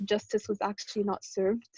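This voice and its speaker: Female discussion participant, twenties, medium pitch, conversational opinions, close and lo-fi online sound. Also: little pitch movement, moderate pace, darkish tone, husky, no room sound. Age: 20s. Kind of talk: casual opinion sharing. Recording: dry, dull online call audio.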